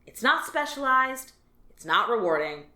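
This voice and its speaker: A woman's voice: on the high side, big pitch swings, moderate pace, pushed and bright. A woman voicing a jaded female alien office worker who talks about dull work in a dry, resigned, matter-of-fact way. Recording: roomy echo.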